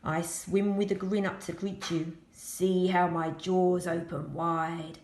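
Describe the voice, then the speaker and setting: A woman's voice: fairly low pitch, level tone, slow, a little mellow, echoey room. A woman voicing a sly, grinning shark from a children's storybook, coaxing and menacing. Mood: sly menace.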